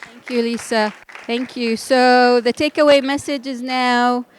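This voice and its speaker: Higher-voiced woman moderating a panel. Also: fairly even intonation, some chatter behind. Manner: halting, with restarts.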